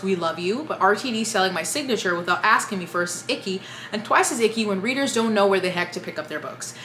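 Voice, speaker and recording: A woman who hosts a book channel, reading a written statement aloud in a brisk, steady delivery. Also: medium pitch, roomy sound, a little hiss or hum.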